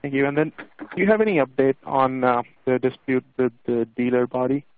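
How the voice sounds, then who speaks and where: Mid-pitched male analyst on tinny phone line. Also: a little hiss or hum. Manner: polite, halting, searching for words. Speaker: financial analyst asking questions. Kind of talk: questions on an earnings call.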